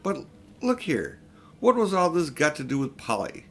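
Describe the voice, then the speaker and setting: A man's voice: fairly high pitch, wildly swooping pitch, normal speed, fairly bright tone, exaggerated voice. A man reading the part of a blunt, worried young boy who questions and objects forthrightly. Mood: impatient.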